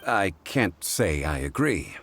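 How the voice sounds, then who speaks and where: A man playing a calm, learned gentleman in a video game, speaking in a formal, courteous and measured way with quiet certainty. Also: low-pitched, soft background music, full character voice. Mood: calm, reasoned. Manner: measured, formal, polite, composed. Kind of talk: video game character acting.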